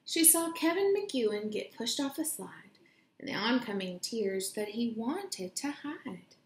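A young woman reading a children's picture book aloud in a deliberate, expressive storytelling way, recorded in a room at home. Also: a little high, slight background noise. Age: young adult. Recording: roomy home echo.

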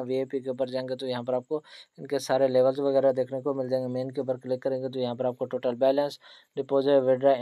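A man's voice: medium pitch, warm tone, no echo. A man hosting an online tutorial, narrating step by step in an instructional way with frequent tag questions. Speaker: host of an online earning tutorial channel. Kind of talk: screen-recorded tutorial narration.

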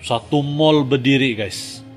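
A man's voice: mid-range voice, takes it slowly. A man in his thirties with a Malaysian Malay accent, hosting a casual reaction video from a small home studio in an earnest, emphatic way. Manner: earnest, says "guys" constantly, emphatic. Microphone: studio mic on arm, wearing headphones. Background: music from the video being reacted to.

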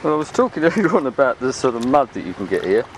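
A man talks in a chatty, rambling way at an ordinary pitch. He is giving an off-the-cuff walking commentary into a handheld camera's microphone. Clear outdoor noise of an open beach runs behind the voice. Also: very animated, quick pace. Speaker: vlogger filming a beach walk. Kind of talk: casual, unscripted walking commentary. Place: open beach at low tide. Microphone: handheld camera's built-in microphone.

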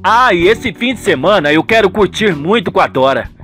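A man's voice: sits high, expressive, moderate pace, fairly bright tone, soft background music. A man playing an eager, easygoing young son in a radio soap, friendly and insistent. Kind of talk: radio soap opera acting.

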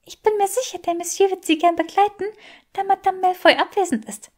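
A woman's voice: extremely high pitch, brisk, darkish tone, pitched above the performer's own voice. A woman voicing an impudent, knowing servant creature in an audiobook, formal and mock-innocent. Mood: helpful, sly. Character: impudent, squeaky female servant creature, knowing and French-speaking.

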